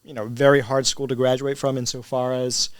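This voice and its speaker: A man's voice: medium pitch, little pitch movement, fairly quick, smooth, no echo. A middle-aged man from Spain with a mixed English, American and international accent, chatting as an interviewed teacher and often tagging on "right?". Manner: conversational, often checks with "right?". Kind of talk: casual interview chat.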